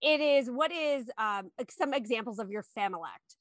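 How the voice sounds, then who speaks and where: A woman co-hosting a podcast, asking questions in a casual, chatty, playful and animated way. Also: a little high, moderate pace, no room sound, studio quality. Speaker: podcast co-host.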